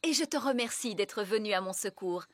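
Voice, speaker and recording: A woman's voice: medium pitch, expressive, fairly quick, no echo, close to an everyday voice. A woman voicing a prim, refined grown-up fairy lady in a French cartoon dub, polite and theatrical. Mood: gracious.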